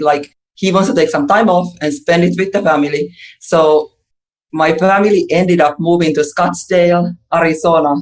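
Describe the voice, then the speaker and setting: A woman from Finland telling her story as a podcast guest, lively and chatty. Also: low-pitched, poor audio. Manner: lively, chatty, laughs often. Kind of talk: interview storytelling.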